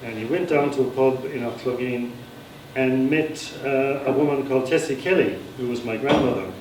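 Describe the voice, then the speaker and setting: A man's voice: mid-range voice, normal speed, darkish tone. A man with an Irish accent, an author giving a live reading of his story with informal asides. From Ireland. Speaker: author reading own book. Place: live reading at a society gathering. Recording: echoey room, distant mic, background noise.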